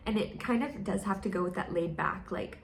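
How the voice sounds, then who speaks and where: A woman's voice: brisk, creaky. A young American woman chatting casually in a vlog, full of fillers and playful quoted voices. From United States. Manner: chatty, with many fillers, mimics others in high voices. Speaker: vlogger. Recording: echoey room, faint noise.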